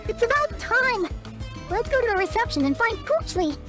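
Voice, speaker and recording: A woman voicing a tiny, excitable floating fairy girl companion in a video game, with a squeaky childlike voice and exclamatory delivery. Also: normal speed, over music. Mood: eager. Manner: exclamatory, refers to self in third person. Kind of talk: animated video game dialogue.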